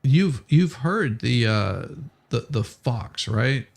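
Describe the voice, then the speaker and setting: A man chatting casually as a livestream co-host, telling stories in a halting way with repeated words. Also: medium pitch, expressive, vocal fry.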